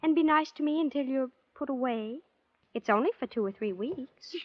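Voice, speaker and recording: Naive society girl, 1940s radio drama: high girlish voice, breezy and petulant, close dry sound on a dull old recording. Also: normal speed, dry recording. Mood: blithe, matter-of-fact. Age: young adult. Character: naive, blithe, spoiled young heiress in love.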